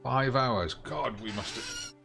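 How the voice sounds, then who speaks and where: A man's voice: medium pitch, huge pitch swings, slow pace. A man giving laid-back, casual commentary while playing a game, thinking aloud. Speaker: gaming streamer. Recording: commentary over game music and rain. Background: game music, rain sounds.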